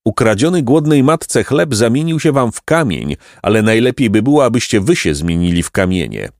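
A man's voice: on the low side, animated, normal speed, a little mellow, dry recording, studio quality, voice pushed up. Man voicing an ancient, stern storybook raven. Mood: stern scorn. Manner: solemn, condemning, oracular. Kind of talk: storybook character reading.

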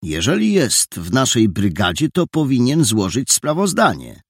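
A self-important boy gang leader from a storybook, put on by a male narrator, talking like an official. His slightly raised voice is gravelly and husky, recorded dry and close. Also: mid-range voice, huge pitch swings, moderate pace, dry recording, pitched above the performer's own voice, full character voice. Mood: officious. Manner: officious, suspicious.

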